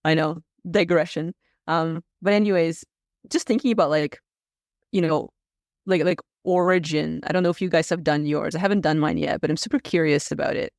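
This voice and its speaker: A man's voice: a little high, fairly even intonation, fairly quick, vocal fry, dry recording, clean studio sound. A man from Russia, whose first language is Russian, chatting as a podcast guest, rambling with many fillers and restarts. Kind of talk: casual interview chat.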